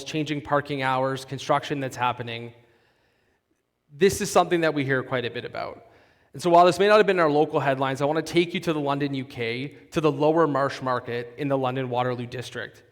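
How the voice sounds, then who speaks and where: A man presenting on a conference stage, his voice at an ordinary middle pitch. He talks in a polished, explanatory way with the odd restart. The sound carries the long reverb of a big hall. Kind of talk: conference talk and onstage interview.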